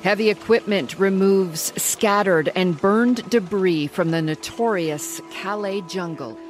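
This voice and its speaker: A woman's voice: mid-range voice, unhurried, soft background music. Woman reading a news report. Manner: crisp, formal delivery.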